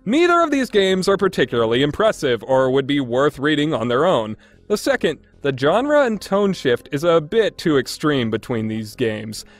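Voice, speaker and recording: A man delivering a scripted review narration in an opinionated, emphatic way. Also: on the high side. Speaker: reviewer, list host.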